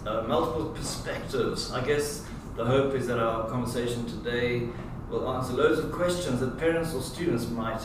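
A man hosting a school podcast, interviewing students in a measured, friendly way. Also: medium pitch. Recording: echoey room, distant mic, noisy.

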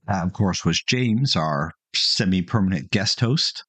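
Male podcast host, lowish voice, conversational and opinionated, recorded dry and close with no room sound. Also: animated, measured pace, a bit bright, husky, no room sound. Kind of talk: casual podcast talk responding to listener calls.

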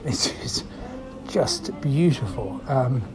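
A man's voice: mid-range voice, expressive, slow pace, over music. A man from London giving a wry, self-deprecating travel report, with frequent ums. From London, England.

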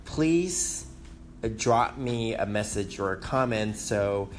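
Man, Taiwanese accent, thirties, slow deliberate pace, low voice, faint hiss behind, hesitant podcast talk. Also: expressive. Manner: hesitant, many ums, self-conscious. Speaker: podcast host. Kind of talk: casual solo podcast talk. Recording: faint background noise.

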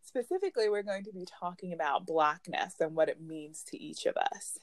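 A young woman from Ghana hosting a casual podcast discussion in a relaxed, chatty way. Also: lowish voice, normal speed, no echo. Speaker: podcast host.

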